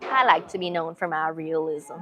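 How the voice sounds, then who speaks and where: A young American woman with a light Puerto Rican accent, chatting casually on a livestream in an expressive, slangy, opinionated way. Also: deep voice, moderate pace, noisy background. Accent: Puerto Rican-tinged American. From Massachusetts, USA. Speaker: live streamer. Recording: music playing behind.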